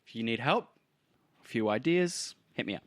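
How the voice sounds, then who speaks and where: A man's voice: mid-range voice, lively intonation, moderate pace, a bit bright, dry recording, close to an everyday voice. A man playing a scheming, foul-mouthed rebel professor who gives orders in a casual, coaxing way. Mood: persuasive, coaxing.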